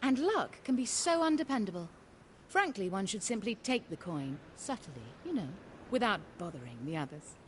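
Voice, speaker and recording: An actress voicing a poised, cunning noblewoman in a video game, genteel, measured and persuasive. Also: medium pitch, big pitch swings, a little hiss or hum, natural voice. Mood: sly, coaxing. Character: poised, cunning noblewoman schemer, coaxing and sly. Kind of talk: video game dialogue acting.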